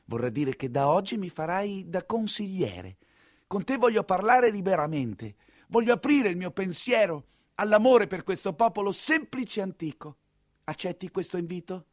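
A man's voice: sits high, lively intonation, moderate pace, dry recording, studio quality, pitched above the performer's own voice. A man playing an earnest, idealistic young count on stage, with formal, polished speech. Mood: lofty, earnest.

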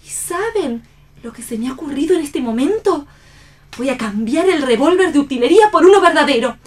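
Fed up despairing theater actress, radio play, kinda high voice, dramatic declaiming to the audience. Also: female voice, lively intonation, fairly quick, darkish tone. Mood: declamatory. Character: weary, despairing, defiant stage actress addressing audience.

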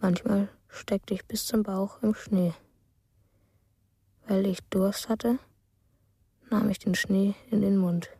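A woman playing a quiet, dreamy narrator of a child's strange stories in a radio drama, husky, soft and unhurried. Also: medium pitch, fairly even intonation, dry recording, studio quality. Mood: dreamy, detached. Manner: simple, unhurried, matter-of-fact. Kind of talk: slow storytelling in a radio drama.

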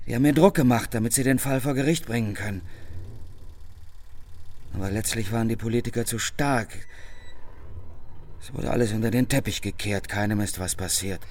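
An actor playing a middle-aged, embittered and self-loathing racetrack technician in a German radio crime drama, confiding in an edgy, rambling way. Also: male voice, mid-range voice, fairly quick, long pauses, darkish tone, close to an everyday voice. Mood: bitter, confiding.

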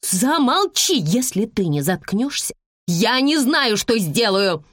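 An overwrought, haughty mother, acted out by a woman reader, with her voice pushed high and shrill. She is snappish, demanding and exasperated, with dramatic swings of pitch in a dry storybook recording. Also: sing-song, normal speed, darkish tone, breathy edge, no echo. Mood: hysterical anger.

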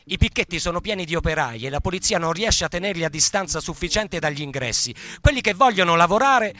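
A man playing a militant narrator, declaiming in radio theatre with rhetorical urgency and deliberate phrasing. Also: sits high, lively intonation, sharp tone. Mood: urgent, heated. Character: militant narrator proclaiming leaflets and chronicling the workers' revolt.

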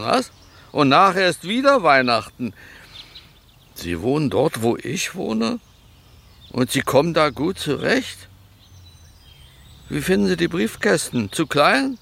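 An actor playing an addled, aggrieved composer in a radio drama, woozy from medication and rambling erratically from one question to the next. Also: male voice, on the high side, sharp tone, exaggerated voice. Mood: confused, queasy. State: woozy from pills and injection. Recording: clear background noise, outdoor ambience.

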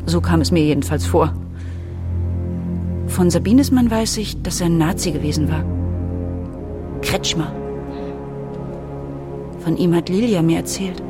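A woman's voice: fairly low pitch, a little slow, pauses often, music in the background, lightly acted. Actress as a reflective woman narrating family memories. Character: thoughtful adult daughter and mother, narrating family memories. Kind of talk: radio drama narration and dialogue.

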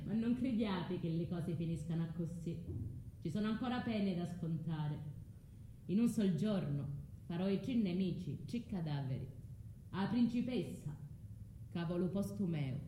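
An actress with a southern Italian accent playing a proud, vengeful, scorned foreign wife in a declamatory radio drama monologue. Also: female voice, on the high side, darkish tone, echoey room, slight background noise, low-quality recording. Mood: scornful, vengeful.

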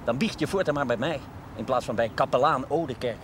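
A man's voice: medium pitch, brisk, slight background noise, natural voice. An actor playing an ardent young man courting his sweetheart in a period television drama. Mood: teasing. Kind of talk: 1970s Dutch television period drama acting.

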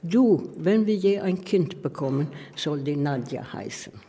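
An older woman with a foreign accent who is not a native German speaker, recalling personal memories in halting, anecdotal phrases. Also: low-pitched, animated, dark tone, husky. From outside Sweden. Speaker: interviewee, artist recalling her life partner. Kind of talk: personal reminiscence. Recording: long hall reverb, noisy background.

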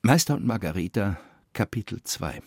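An actor playing a weary, bitter novelist, reading in a deliberate, brooding literary radio-play manner with theatrical pauses. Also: male voice, lowish voice, lively intonation, husky, no echo, studio quality. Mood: measured, grave.

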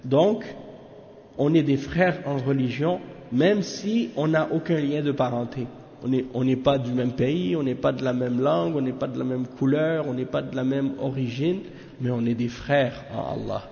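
A man's voice: medium pitch, moderate pace, creaky, dark tone. A man giving a religious lesson in a casual, familiar way, often checking in with his listeners. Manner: casual, familiar talk with frequent "hein?" asides. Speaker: religious teacher. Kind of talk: lesson explaining a commentary. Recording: echoey room, dull lo-fi sound.

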